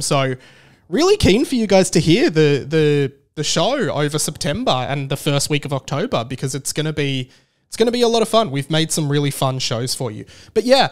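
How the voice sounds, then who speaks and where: A man's voice: fairly high pitch, clean tone. A man hosting a podcast solo in a casual, chatty style, rambling and often interrupting his own sentences. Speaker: podcast host.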